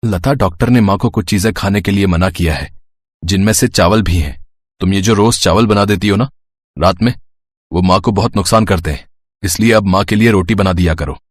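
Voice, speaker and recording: A man's voice: low voice, a little fast, no echo, studio quality. A man voicing a dutiful, somewhat bossy adult son and husband in a moral story, with a firm manner. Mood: instructing. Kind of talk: scripted Hindi moral-story cartoon voice.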